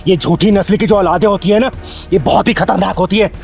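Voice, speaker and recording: A man's voice: high voice, fairly quick, vocal fry, a little mellow, faint music behind. A man playing a fierce, protective transgender woman who scolds and threatens wrongdoers in a short moral drama. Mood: menacing. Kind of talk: Hindi moral short-film acting.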